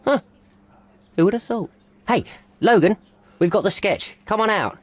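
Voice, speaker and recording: An actor playing a chatty, affable and put-upon police desk officer in a video game, informal and wry. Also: male voice, high voice, lively intonation, measured pace. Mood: surprised.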